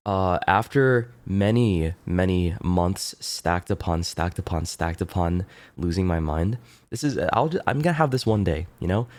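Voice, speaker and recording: A man with a deep, low voice talks casually to his stream audience, his nose plainly blocked so everything comes out stuffy. He rambles in a relaxed way, swears freely and keeps clearing his throat. The sound is close and dry, with no room around it. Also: dry recording. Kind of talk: casual chat with viewers.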